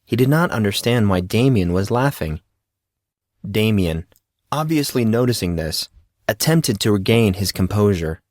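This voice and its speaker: A man's voice: on the low side, lively intonation, moderate pace, a little mellow, no echo, studio quality. A man reading scripted fiction as an audiobook narrator.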